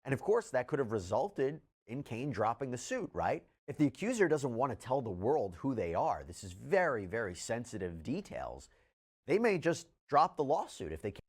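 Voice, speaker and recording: Male legal commentator, animated delivery. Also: medium pitch, moderate pace. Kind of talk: scripted legal news commentary.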